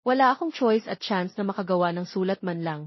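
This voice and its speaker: A woman's voice: medium pitch, brisk, dry recording, dull audio, lightly acted. A woman voicing an apologetic, earnest young bachelor who pleads and explains, in a romance audio story. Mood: remorseful, pleading.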